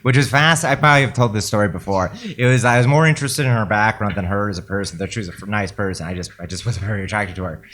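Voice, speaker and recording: A man co-hosting a podcast, telling stories in a dry, low-key, provocative way. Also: fast talker, hardly any pauses, fairly bright tone, a little hiss or hum. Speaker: podcast co-host. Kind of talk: casual podcast chat.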